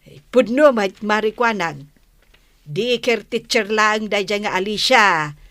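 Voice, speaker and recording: An actress playing a brash, scornful village gossip in an Ilocano radio comedy drama, mocking and quick to argue. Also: female voice, mid-range voice, fairly bright tone, dry recording, natural voice. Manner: mocking, boastful, argumentative. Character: brash, scornful village gossip woman who belittles a teacher.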